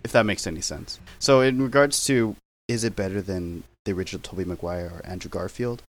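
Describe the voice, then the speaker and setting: A man's voice: low voice, fairly quick. Rambling young man, guest on a call. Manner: rambling, self-correcting, laughs easily. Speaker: podcast guest. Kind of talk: casual chat over video call. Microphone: video call.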